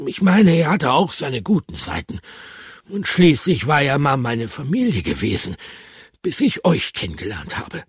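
A man voicing a gentle, wistful male pet hamster who speaks with a lisp, as in a storybook read aloud. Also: mid-range voice, huge pitch swings, moderate pace, breathy edge, no room sound, clean studio sound, voice pushed up, heavily acted. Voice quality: lisping.

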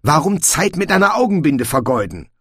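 Mann spielt ernsten jungen Stammeskrieger, höhere Stimme. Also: male voice, fairly high pitch, big pitch swings, normal speed, a bit bright, slightly rough, no echo, studio quality, voice pushed up, heavily acted. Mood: exasperated.

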